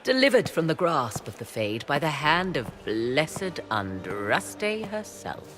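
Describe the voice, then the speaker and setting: Sly sorceress, slow, teasing, swoopy voice, music behind. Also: female voice, deep voice, very animated, full character voice. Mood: mocking. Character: sly mysterious female court sorceress, mocking. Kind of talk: video game cutscene acting.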